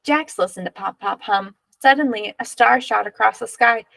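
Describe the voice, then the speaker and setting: A woman reading a children's picture book aloud in measured, expressive narration, drawing out key words. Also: on the high side, vocal fry, no room sound.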